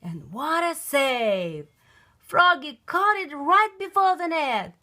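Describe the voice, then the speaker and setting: A woman reading a children's picture book aloud in an expressive, emphatic storytelling style. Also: sits high, slow.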